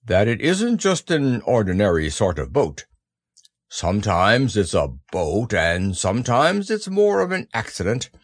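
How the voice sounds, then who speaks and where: A man's voice: lowish voice, wildly swooping pitch, a little slow, no echo, clean studio sound, heavily acted. A man voicing a proud, simple-minded storybook bear with a growly voice and an earnest manner.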